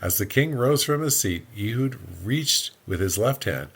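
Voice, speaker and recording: A man's voice: medium pitch, lively intonation, a little slow, fairly bright tone, husky. A man co-hosting a podcast, reading scripture aloud and dropping in wry, joking asides in a casual way. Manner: jokey, wry.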